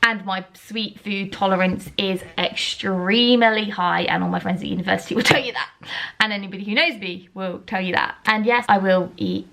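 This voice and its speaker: A young woman of about twenty, chatting to camera at home in a rambling, self-deprecating way, her voice still slightly croaky. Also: mid-range voice, fairly even intonation, normal speed, bright, forceful tone. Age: around 20. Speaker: vlogger. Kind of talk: casual chat to camera.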